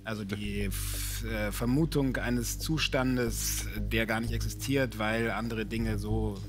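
A man's voice: lowish voice, steady tone, slow pace, over music. A man raised between Austria and Germany, a quiz contestant and actor, musing aloud in a hesitant, self-deprecating way. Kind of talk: casual chat.

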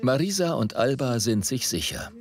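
A man's voice: medium pitch, big pitch swings, normal speed. A man reading scripted narration for a documentary report. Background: faint background music.

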